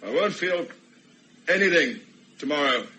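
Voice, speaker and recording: An actor playing a bitter, despairing betrayed husband in a radio play, speaking curtly and sardonically. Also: male voice, a little high, expressive, unhurried, pushed and bright. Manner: curt, sardonic.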